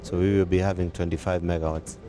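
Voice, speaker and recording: A middle-aged man from Kenya with a very deep voice explains plans in a businesslike way. He is recorded outdoors on a lapel mic, with clear background noise. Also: level tone, fast talker. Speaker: company executive. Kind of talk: outdoor news interview. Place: outdoors by a building.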